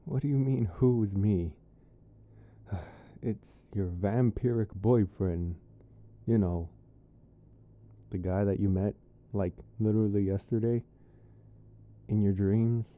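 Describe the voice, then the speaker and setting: Muffled and narrow, as if through a door, a man acts out a young vampire boyfriend. He speaks slowly in a lowish voice, halting and coaxing, sheepish but playful in an ASMR roleplay. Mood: pleading, exasperated. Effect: muffled, as if behind a door.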